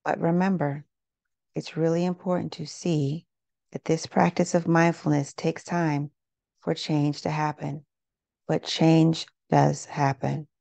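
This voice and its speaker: A woman leading a guided meditation in a gentle, unhurried, measured way, leaving pauses between phrases. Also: sits low, level tone, vocal fry, no room sound. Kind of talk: guided meditation, scripted narration.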